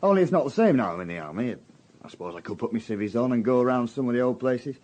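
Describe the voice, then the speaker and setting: Hesitant young Northern English soldier lad played by an actor. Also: male voice, medium pitch, animated, racing, a little mellow, close to an everyday voice. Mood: awkward, guarded. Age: young adult. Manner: hesitant, colloquial. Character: young army private, plain-spoken, awkward working-class lad. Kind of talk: naturalistic radio drama acting.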